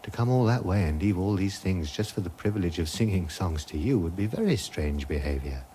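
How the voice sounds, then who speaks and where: Vague gentle hermit, low mellow voice, musing radio comedy style, questions everything. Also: male voice, animated, a little fast, slight background noise. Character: vague gentle male hermit philosopher, doubtful of all reality. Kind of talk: British radio comedy drama acting.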